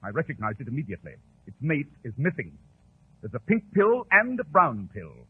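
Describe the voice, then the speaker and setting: Confident British sleuth, clipped theatrical diction, husky edge, tinny 1940s radio recording. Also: male voice, medium pitch, huge pitch swings, fairly quick, full character voice. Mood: explaining keenly. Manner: clipped, precise, theatrical, self-assured. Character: brisk, confident, brilliant male London detective. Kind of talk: 1940s radio drama acting.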